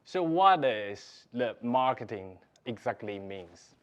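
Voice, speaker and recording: A man from Taiwan presents at a seller event in a relaxed, conversational manner. His pitch sits in an ordinary middle range for a man, and he keeps turning to the audience for agreement. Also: slow pace. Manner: non-native phrasing, frequent "right?" checks, loose and chatty. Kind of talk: conference presentation. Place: live event in Singapore.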